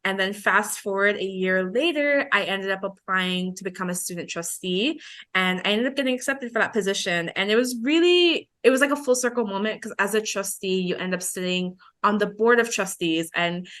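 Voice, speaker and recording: A woman's voice: mid-range voice, normal speed, fairly bright tone. A woman in her twenties telling a personal story on a podcast in a chatty, informal way. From Belize. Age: 20s. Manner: chatty, informal, acts out remembered dialogue. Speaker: podcast co-host.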